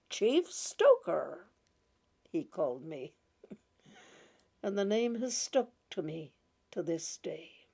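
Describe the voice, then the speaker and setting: Slow, gentle woman reading a story. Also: medium pitch. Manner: gentle, with frequent soft laughs. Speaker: narrator reading a short story.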